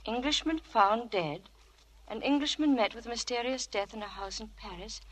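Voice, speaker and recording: A woman's voice: fairly high pitch, normal speed, a little hiss or hum, lightly acted, rough recording. A woman playing an anxious, genteel young wife, earnest and refined in 1940s radio drama style. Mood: shocked, panicking. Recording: old radio broadcast with music.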